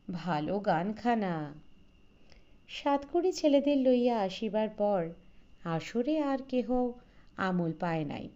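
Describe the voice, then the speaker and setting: Slow-paced woman narrator, bright voice. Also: medium pitch, dull audio. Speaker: audiobook narrator. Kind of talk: scripted literary story narration.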